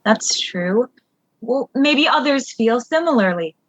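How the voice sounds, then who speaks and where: A woman playing a gentle, encouraging but easily flustered mentor in an audio drama, speaking in a halting, reassuring way. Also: fairly high pitch, animated.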